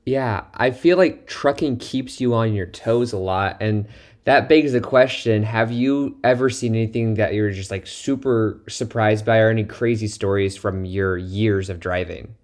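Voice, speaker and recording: A man's voice: fairly low pitch, a little slow. A man co-hosting a podcast, asking questions in a casual, chatty way. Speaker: podcast co-host. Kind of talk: casual chat.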